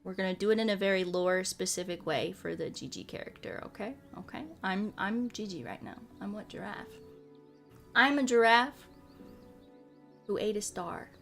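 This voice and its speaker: A woman's voice: mid-range voice, moderate pace, gravelly. A woman livestreamer chatting casually in a playful manner, often repeating her phrases for comic effect. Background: background music.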